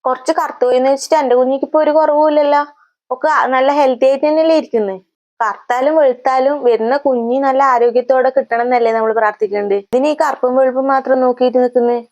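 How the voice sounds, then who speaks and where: A woman acting as a pregnant young mother with a Kannur accent, high-voiced and very quick. She answers back with sharp sarcasm, in comedy sketch style. The audio is slightly dull. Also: steady tone, close to an everyday voice. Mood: irritated, defiant. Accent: Kannur, north Kerala. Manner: sarcastic retorts.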